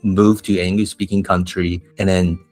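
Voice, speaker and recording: A man with a Taiwanese accent who teaches English, chatting casually and telling animated stories with laughs and restarts. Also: low voice, moderate pace, no room sound, faint music behind. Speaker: English teacher, podcast host. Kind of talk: casual chat.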